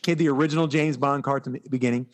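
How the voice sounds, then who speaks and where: A man co-hosting a podcast, chatting casually about movies in a halting, storytelling way and often quoting dialogue. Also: medium pitch, steady tone. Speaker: podcast co-host.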